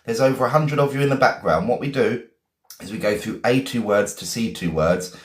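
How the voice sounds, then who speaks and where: A man's voice: medium pitch, fairly quick, echoey room. A man with an English accent, a teacher greeting viewers one by one in a chatty live-stream lesson. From England, Essex.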